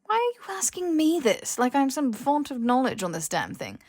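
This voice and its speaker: A woman's voice: sits high, big pitch swings, normal speed, a little mellow, voice pushed up. A woman voicing a haughty, petulant young aristocratic man in an audiobook, arch, theatrical and indignant.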